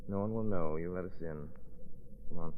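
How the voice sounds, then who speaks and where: An actor playing a calm, shrewd gentleman detective in a crisp 1940s radio style. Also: low-pitched, normal speed, natural voice. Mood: composed, persuasive. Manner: crisp, composed, probing. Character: calm, shrewd, persistent gentleman amateur sleuth. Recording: dull, noisy old radio recording.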